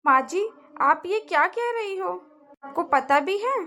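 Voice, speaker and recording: Woman as high-pitched, earnest young daughter-in-law. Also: expressive, unhurried, sharp tone, exaggerated voice. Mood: earnest pleading. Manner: earnest, polite, pleading. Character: worried, respectful young daughter-in-law and mother. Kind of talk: cartoon family drama acting.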